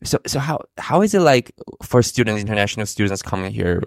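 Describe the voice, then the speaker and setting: A man's voice: lowish voice, sing-song, fairly quick, gravelly, dry recording, clean studio sound. A man from Germany interviewing a university representative in a friendly, casual way, with many fillers like "like" and "I mean". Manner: friendly, filler-heavy, loose phrasing.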